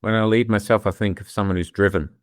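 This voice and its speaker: Deep-voiced middle-aged British man. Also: fairly even intonation, fast talker, dry recording, clean studio sound. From London, England. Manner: thoughtful, with frequent restarts and "you know"s. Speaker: guest. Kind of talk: candid reflective conversation.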